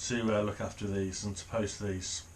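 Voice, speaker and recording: A middle-aged man giving casual unboxing commentary, thinking aloud in an unhurried way as he handles things at a desk in a small room. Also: lowish voice, flat delivery, slight background noise. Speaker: hobbyist reviewer. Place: small home office, indoors.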